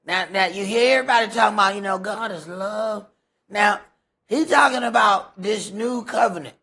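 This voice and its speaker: An American man leading a Bible study, preaching off the cuff in an emphatic way with repeated phrases and rhetorical questions, his voice rough and raspy. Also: high voice, normal speed, pushed and bright. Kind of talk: sermon, extemporaneous teaching.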